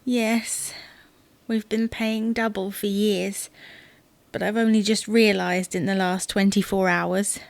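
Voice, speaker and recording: A woman's voice: mid-range voice, flat delivery, slow, creaky, dry recording. An actress playing a matter-of-fact, defensive wife in a comedy audio drama, needling her husband with dry, pointed retorts. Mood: hesitant confessing.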